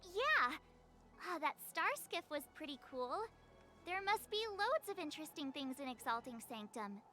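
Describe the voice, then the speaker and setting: A woman voicing a perky, outspoken teenage adventurer girl in a video game, with a bubbly, cheeky, expressive delivery. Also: sits high, normal speed, faint music behind, heavily acted. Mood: excited.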